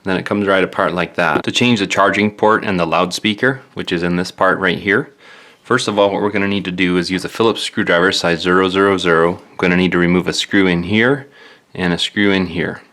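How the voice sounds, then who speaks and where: A man's voice: deep voice, fairly even intonation, brisk, fairly bright tone. A man presenting a DIY repair tutorial, talking through the steps in a casual, plainspoken, matter-of-fact way. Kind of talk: casual step-by-step tutorial narration.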